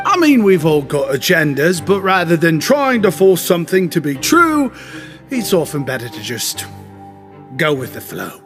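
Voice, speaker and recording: A fawning smooth-talking shop clerk. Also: male voice, a little high, very animated, moderate pace, fairly bright tone. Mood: flattering. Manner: ingratiating, effusive. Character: flattering young male shopkeeper, smooth talker. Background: game music.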